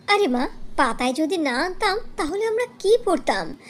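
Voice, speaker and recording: A woman's voice: very high voice, big pitch swings, moderate pace. A woman voicing a dutiful young village daughter-in-law in a cartoon, earnest, eager and respectful. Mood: explaining.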